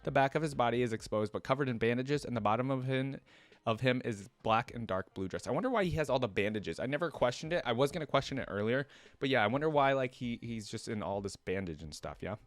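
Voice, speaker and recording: A man's voice: mid-range voice, expressive, fast, dry recording. A man giving casual reaction commentary as a channel host, chatting and reading aloud.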